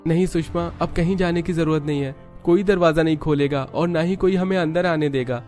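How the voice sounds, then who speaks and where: A man's voice: on the high side, steady tone, a little fast, a little mellow, faint music behind, voice pushed up, close to an everyday voice. A man as an earnest, lighter-voiced young husband. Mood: resigned. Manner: apologetic, earnest. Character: earnest, hard-up young husband, apologetic and worried. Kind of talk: dramatized Hindi moral story cartoon.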